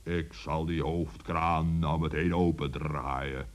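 Very deep, slow giant. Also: male voice, fairly even intonation. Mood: calm, instructive. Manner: slow, deliberate, kindly. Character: huge male wizard giant, slow and good-natured. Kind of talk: children's radio play acting.

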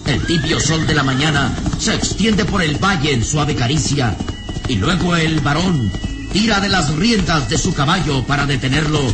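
A man narrating a radio drama in a solemn, literary, suspenseful manner. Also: a little high.

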